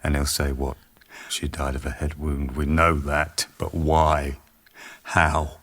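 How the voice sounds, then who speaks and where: A man's voice: rumbling low, level tone, slow pace, fairly bright tone, full character voice. An actor playing an intense, obsessive male scientist full of grief in a radio drama. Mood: grieving, obsessive. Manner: intense, brooding, insistent.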